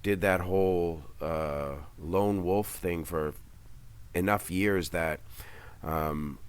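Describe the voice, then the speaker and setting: Deep-voiced man, hesitant. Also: slow pace, clean tone. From Canada's Maritime provinces. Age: 30s. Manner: rambling, hesitant, many ums and restarts. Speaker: interview guest. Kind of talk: casual remote interview. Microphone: headset on a call.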